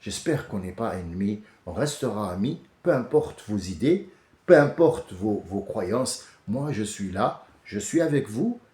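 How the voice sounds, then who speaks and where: A French man hosting a casual monologue, animated and often restarting, rising to heated outbursts. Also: fairly low pitch, unhurried. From France. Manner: many restarts and hesitations. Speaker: host. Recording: echoey room.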